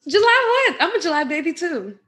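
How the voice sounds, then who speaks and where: A woman's voice: extremely high pitch, sing-song, fairly quick, fairly bright tone. A woman, hosting a podcast interview in a chatty, laughing way. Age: 20s. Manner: laughs often, chatty, uses fillers. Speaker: podcast host. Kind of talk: casual interview chat.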